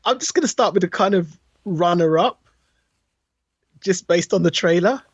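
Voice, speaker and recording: A middle-aged man chatting casually about films as a podcast co-host, telling things in an animated, slangy way. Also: high voice, moderate pace, husky, dry recording, dull audio.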